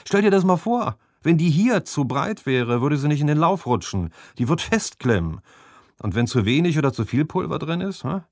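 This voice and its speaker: A man's voice: a little high, very animated, moderate pace, darkish tone, no echo, clean studio sound, pitched above the performer's own voice. A man voicing a cocky teenage apprentice boy who lectures boastfully about his work.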